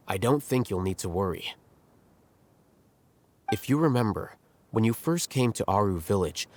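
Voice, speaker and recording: A man playing a composed, self-assured young scholar in an anime game, explaining things in a measured, articulate way. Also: fairly low pitch, a little mellow, lightly acted. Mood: analytical. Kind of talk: anime game cutscene dialogue. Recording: music clearly behind the voice.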